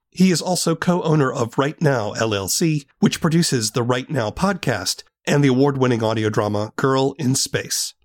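Male interview host, mid-pitched. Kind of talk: scripted introduction and interview questions.

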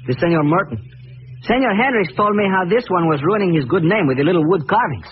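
An actor playing an eager, deferential Mexican helper with accented, broken English in a 1940s radio drama. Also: male voice, high voice, animated, fast, breathy edge, exaggerated voice. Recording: tinny vintage radio broadcast.

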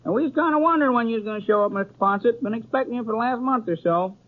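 A man's voice: extremely high pitch, very fast. An actor playing a folksy, talkative old small-town man with a rustic American drawl in a 1950s radio western. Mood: curious. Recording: muffled 1950s radio recording.

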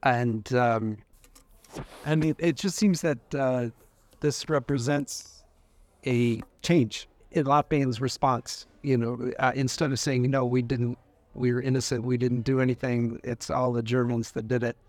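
A man's voice: mid-range voice, steady tone, unhurried, a little mellow, no echo. An older man asking questions in a casual interview, conversational and a little hesitant as he talks.

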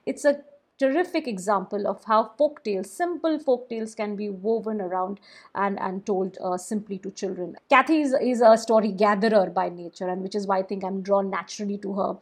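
A woman whose first language is Bengali, a storyteller and podcaster talking thoughtfully about books, with small restarts. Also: medium pitch. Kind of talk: casual podcast discussion.

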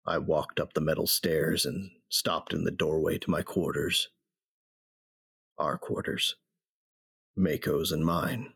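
A man acting a grieving, bitter bounty hunter who narrates his memories in a reflective, rough-spoken way for an audio drama. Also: rumbling low, steady tone, takes it slowly, pauses often, fairly bright tone, no room sound, studio quality, full character voice. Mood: somber reflection.

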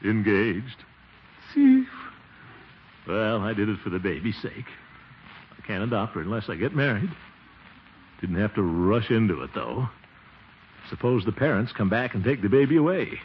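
An actor playing a flustered, scheming middle-aged bridegroom-to-be in 1940s radio comedy, blustery, stammering and chuckling nervously. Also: male voice, fairly low pitch, very animated, moderate pace, pauses often. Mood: sly musing. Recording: muffled, noisy old 1940s radio recording.

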